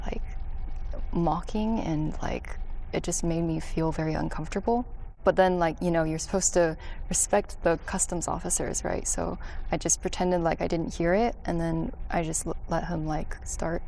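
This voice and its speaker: A woman's voice: fairly low pitch, vocal fry, dark tone, slight background noise. A young woman telling her story in an interview, hesitant and full of "like" fillers. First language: English. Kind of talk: personal account in interview.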